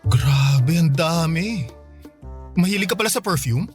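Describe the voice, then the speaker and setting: A young suitor in a radio drama, with a high voice and very lively intonation. He is thrifty and teasing, and talks in a casual, expressive way. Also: a little slow, faint music behind. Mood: curious, impressed. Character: thrifty, teasing young man courting his girlfriend. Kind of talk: radio drama acting in dialogue.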